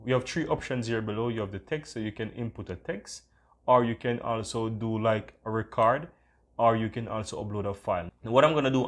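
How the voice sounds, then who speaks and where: A man hosting a casual screen-recorded tutorial, talking in an enthusiastic, repetitive way. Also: medium pitch. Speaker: tutorial host.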